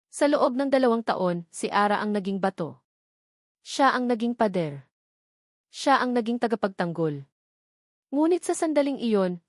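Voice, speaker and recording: A woman's voice: medium pitch, no echo, studio quality. A woman reading a scripted love story as a dramatic narrator.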